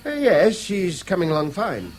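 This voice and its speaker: A man's voice: sits high, huge pitch swings, normal speed, soft background music, exaggerated voice. A British actor in a 1970s radio drama playing a guarded, conscientious man with a polite, hesitant manner.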